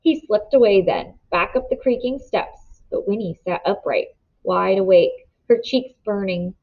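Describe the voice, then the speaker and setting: A woman reads a children's novel aloud in steady storybook narration. Her voice sits at a middle pitch. The recording sounds dull and lo-fi. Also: measured pace.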